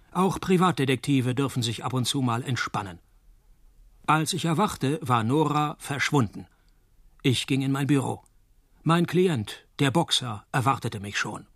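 An actor playing a hard-boiled, laconic private detective, delivering deadpan noir radio play narration and dry wit. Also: male voice, medium pitch, dry recording. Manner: deadpan, wry.

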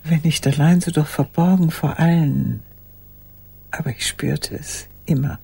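An actress playing an old woman in a radio play monologue, courteous and haunted, recalling the past in a halting, breathy, raspy voice. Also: low voice, warm tone, heavily acted. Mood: tender, haunted. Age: older. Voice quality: husky, raspy, breathy, often dropping to a whisper. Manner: deliberate, reflective, halting.

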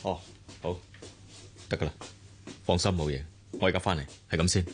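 A man acting as a cool-headed businessman in a Hong Kong Cantonese radio play. He speaks low and measured, always reassuring and hard to rattle, over faint background noise. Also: steady tone, natural voice. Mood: composed. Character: calm, composed businessman, reassuring and unflappable.

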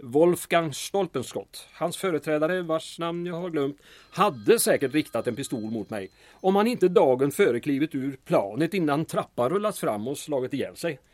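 An actor playing a guarded small-town mayor in an audio drama, formal and precise, with slight hesitations and a pedantic care for detail. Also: male voice, on the high side, animated, darkish tone, dry recording, close to an everyday voice. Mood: dry, recounting.

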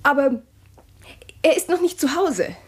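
An actress playing an anxious newly married wife who grows tense and suspicious in a radio drama. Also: female voice, high-pitched, big pitch swings, measured pace. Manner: tense, nervous, questioning. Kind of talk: German radio drama acting.

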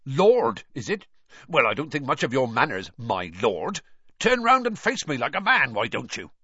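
A man voicing a gruff, defiant old working man in an audiobook, talking back bluntly and roughly. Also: mid-range voice, lively intonation, normal speed, bright, forceful tone, no echo, highs cut off, heavily acted.

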